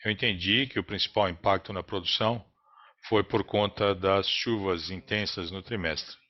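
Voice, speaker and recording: A man with a Brazilian accent interprets a business earnings call live, in a halting, phrase-by-phrase delivery. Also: deep voice, fairly even intonation. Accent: Brazilian Portuguese. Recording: dull, lo-fi conference call audio.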